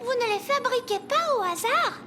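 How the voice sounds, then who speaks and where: A tiny fairy princess from a children's cartoon chatters in an extremely high, lively voice. She is childlike, eager and cheerful. Music plays behind her in a reverberant mix. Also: normal speed. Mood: eager, playful.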